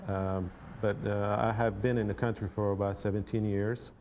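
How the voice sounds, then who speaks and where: A man from Iraq, an artist, speaking haltingly in a documentary interview. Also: lowish voice, little pitch movement, darkish tone, slight background noise. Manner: hesitant, halting with fillers.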